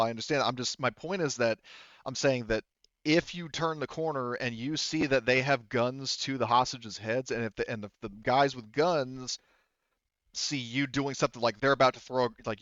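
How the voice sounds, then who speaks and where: A man's voice: mid-range voice, brisk, fairly bright tone, dry recording, lo-fi sound. A man speaking casually and emphatically as game master, explaining options to players in a tabletop roleplaying game.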